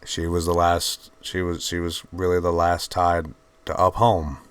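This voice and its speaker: A man with a mixed Virginian and Georgian Southern accent, hosting his channel and talking in a subdued, halting, heartfelt way. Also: deep voice, monotone, clear, resonant tone. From Virginia, USA. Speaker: channel host. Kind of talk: reflective personal talk to camera.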